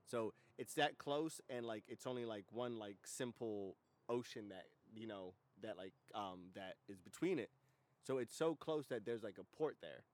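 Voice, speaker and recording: A man's voice: lowish voice, animated, normal speed. An American man hosting a casual comedy podcast, chatting in a slangy, profane, irreverent way. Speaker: podcast host.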